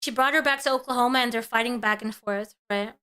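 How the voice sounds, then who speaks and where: A woman's voice: on the high side, fairly even intonation, fast talker, bright, forceful tone, clear, resonant tone. A woman giving casual live reaction commentary as a streamer, emphatic and exasperated in her opinions.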